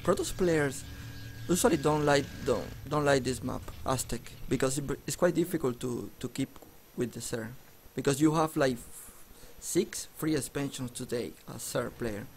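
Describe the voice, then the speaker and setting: A man's voice: medium pitch, moderate pace, slight background noise. A man casting a strategy game match in casual commentary, joking and often restarting his words. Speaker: esports commentator.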